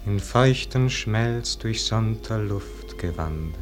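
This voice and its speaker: A man's voice: lowish voice, animated, slow, soft background music. An actor playing a world-weary ageing nobleman in a 1940s radio play, declaiming verse in a solemn, lyrical way. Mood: hushed, contemplative. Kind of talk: 1940s German radio drama verse acting.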